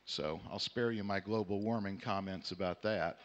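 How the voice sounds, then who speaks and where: Deep-voiced Southern American man in a hall. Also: moderate pace. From Houston, Texas, United States. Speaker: panel moderator. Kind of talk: conference opening remarks to an audience. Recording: echoey hall with long reverb.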